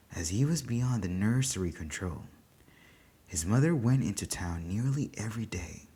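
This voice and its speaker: A narrator reading a literary story aloud in a deliberate, dramatic way, with pauses between phrases. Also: mid-range voice, a little mellow, slightly rough. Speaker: story reader.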